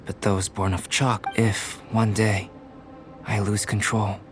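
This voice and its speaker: A composed young game alchemist, courteous yet detached. The delivery is measured, formal and quietly inquisitive, like a scholar thinking aloud, with clear music underneath. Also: male voice, fairly low pitch, little pitch movement. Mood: reflective. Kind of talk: video game character voice acting.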